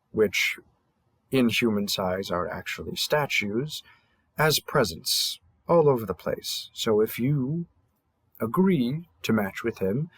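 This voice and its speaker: A man's voice: lowish voice, a bit bright, breathy edge, no room sound, exaggerated voice. A man playing a cheerful, polite dating-app host in a scripted audio roleplay, with a courteous, presenter-like delivery. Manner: courteous, measured, salesman-like welcome delivery.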